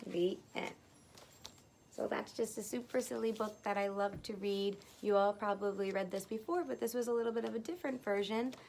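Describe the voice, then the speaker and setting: A woman's voice: mid-range voice, mellow, a little hiss or hum. A woman teacher reading a children's story aloud, narrating expressively with plain explanatory asides.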